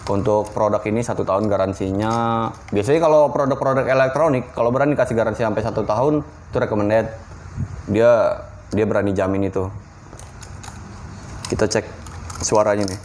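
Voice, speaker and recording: A man's voice: fairly low pitch, normal speed, darkish tone, a little hiss or hum. A man, giving an informal, slangy, unscripted hands-on product review. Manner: informal, slangy, talking through a hands-on demonstration.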